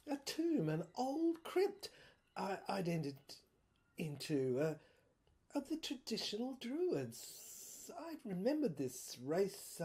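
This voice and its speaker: A woman playing a haunted, bewildered officer in a dramatic monologue, stammering through visions in halting fragments and drifting into breathy whispers. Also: medium pitch, dark tone. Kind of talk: dramatic first-person monologue.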